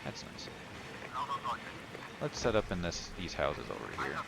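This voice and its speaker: Very deep, hesitant man thinking aloud. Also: very animated, gravelly, very noisy. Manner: hesitant, halting, self-deprecating, many uhs and ums. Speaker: player commentating own game. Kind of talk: unscripted gameplay commentary, thinking aloud. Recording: loud game music and battle sounds behind. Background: game music, artillery fire, vehicles.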